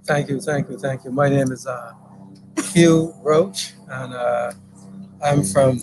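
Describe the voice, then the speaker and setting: A middle-aged man from Barbados with a Barbadian accent, interviewed as a guest on an outdoor deck, speaking slowly with many hesitations and fillers. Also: medium pitch, fairly even intonation, noisy background. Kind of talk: relaxed interview storytelling. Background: passing plane and outdoor ambience.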